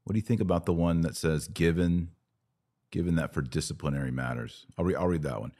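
Really low bass guy chatting as a podcast host, informal and opinionated religious commentary. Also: fairly quick, warm tone.

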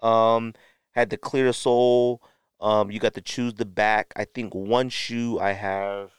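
A man's voice: lowish voice, little pitch movement, slow pace, smooth, dry recording, studio quality. A man hosting a sneaker podcast, talking casually and unscripted to the camera, rambling a little and correcting himself as he shows things. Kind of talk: casual show-and-tell talk to camera.

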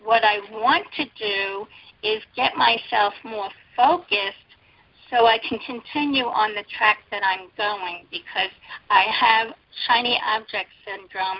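A woman hosting an interview, talking in an earnest, personal and slightly halting way. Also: medium pitch, dry recording. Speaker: interview host. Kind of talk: casual interview chat. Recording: dry, dull lo-fi recording.

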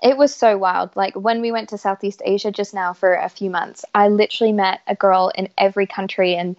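A woman's voice: mid-range voice, steady tone, fairly quick, darkish tone. A woman in her thirties, a yacht chief stewardess, speaking in a casual interview with a mixed Canadian and British accent, enthusiastic and full of fillers. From Isle of Man, raised in Canada. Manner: chatty, enthusiastic, many fillers. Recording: slightly dull lo-fi audio.

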